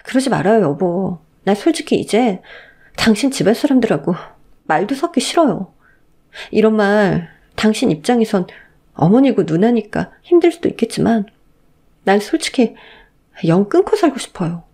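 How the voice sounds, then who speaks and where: A woman's voice: medium pitch, big pitch swings, normal speed, warm tone, husky. A woman playing a resolute young wife in a melodramatic audio story, speaking firmly and emotionally. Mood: pained, firm. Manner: firm, emotional, pleading edge. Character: indignant, resolute young married daughter-in-law.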